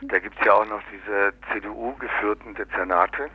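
A low-voiced man gives a sardonic, unscripted political commentary. He speaks colloquially and haltingly, searching for words. The sound is dry and dull, like an old local radio recording. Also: steady tone, husky, dry recording. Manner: sardonic, colloquial, often tags statements with "ne?". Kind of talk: unscripted critical political discussion.

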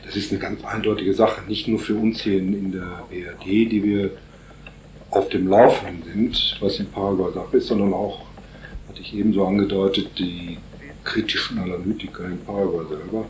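A man with a very low voice explains political matters in long, winding sentences, often stopping on filler sounds. The recording is roomy, with a faint hiss behind him. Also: fairly even intonation, normal speed. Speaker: interviewee on Paraguay politics. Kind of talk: radio interview answers.

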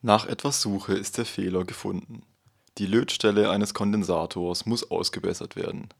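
A man narrating a short report as a scripted voice-over in a calm, measured way. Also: fairly low pitch, vocal fry.

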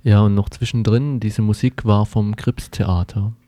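A man's voice: fairly low pitch, steady tone, measured pace, warm tone, no echo. A man presenting news on the radio, linking from one item to the next. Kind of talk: radio moderation.

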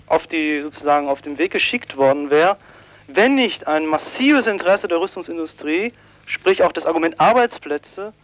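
A man giving spontaneous analysis in an interview as an activist, halting as he searches for the right wording. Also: on the high side, no room sound. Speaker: peace office activist, interviewee. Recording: dull, dry lo-fi radio tape.

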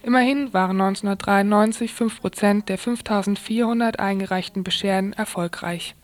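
A woman's voice: mid-range voice, steady tone, fairly quick, slight background noise. A woman presenting a scripted radio news report, sometimes stumbling and rereading phrases.

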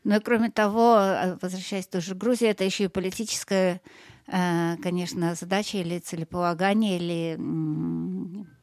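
A woman's voice: fairly low pitch, steady tone, moderate pace, darkish tone, no room sound. Dry-sounding woman hosting a political discussion. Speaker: host. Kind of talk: political discussion, conversational interviewing.

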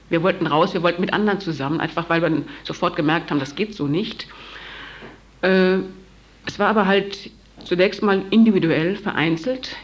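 Woman talking fast at a public meeting, lots of ums, kind of searching for words. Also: fairly low pitch. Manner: halting, searching for words, many fillers. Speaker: speaker at a public event. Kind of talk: spoken talk at a public meeting.

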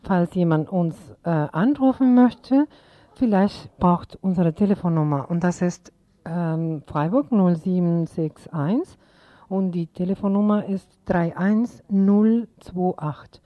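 A woman's voice: on the low side, takes it slowly, no echo. A woman presenting the news on the radio. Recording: dull lo-fi radio recording.

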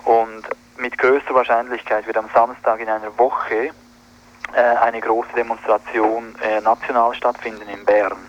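A man's voice: mid-range voice, flat delivery, normal speed, lo-fi sound, slight background noise. A man giving an informal spoken report as a spokesman, hesitant and correcting himself. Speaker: solidarity group spokesman.

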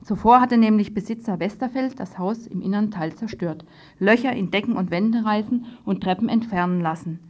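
A woman's voice: mid-range voice, fairly quick, darkish tone. Woman presenting a radio news magazine. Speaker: radio host.

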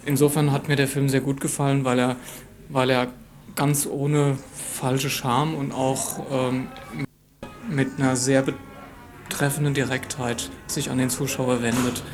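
A man's voice: mid-range voice, level tone, a little slow, faint music behind. A man giving thoughtful, hesitant interview answers, searching for his words. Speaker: interviewed film festival visitor. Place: on-location interview after a screening. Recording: faint background noise and ambience.

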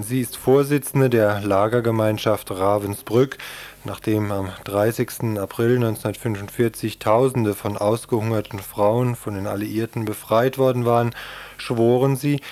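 A male radio reporter reads a prepared report in a slightly low voice. His delivery is scripted narration for a news feature. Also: darkish tone.

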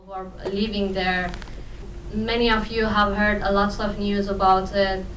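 A woman from Afghanistan, not a native English speaker, answering informally and haltingly at a live festival talk. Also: medium pitch, flat delivery, a bit bright. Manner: halting, loosely phrased non-native English. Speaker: film protagonist and co-writer at a festival talk. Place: echoey event room. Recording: roomy, distant live event sound, faint noise.

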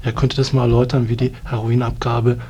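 A man interviewer putting informal questions, with a husky voice. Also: medium pitch, level tone, fast, warm tone, lo-fi sound.

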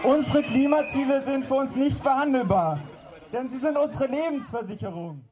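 A young woman giving an impassioned political speech at a rally, loudly and emphatically projecting her words. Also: high-pitched, moderate pace. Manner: loud, emphatic projected delivery. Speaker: climate strike rally speaker. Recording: loud outdoor rally noise and crowd.

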